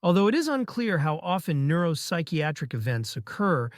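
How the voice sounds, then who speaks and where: A man reading a scripted passage aloud in a measured, formal manner. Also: on the high side, very animated, no room sound, clean studio sound. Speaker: narrator reading practice passages. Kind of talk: scripted read-aloud narration.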